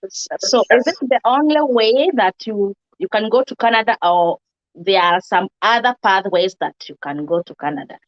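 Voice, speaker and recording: A woman's voice: medium pitch, moderate pace, dull audio. A woman hosting an interview in a casual, upbeat way, greeting guests and viewers. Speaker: host.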